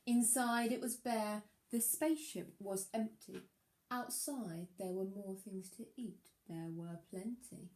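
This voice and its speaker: A woman reading a children's picture book aloud like a primary teacher, expressive, with dramatic emphasis on the rhymes. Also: medium pitch, takes it slowly.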